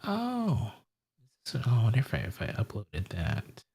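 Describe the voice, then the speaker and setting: A man's voice: mid-range voice, huge pitch swings, a little slow, gravelly, darkish tone, husky. A man hosting a casual live stream, greeting viewers and rambling aloud while he fiddles with settings. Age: adult with a wife and family.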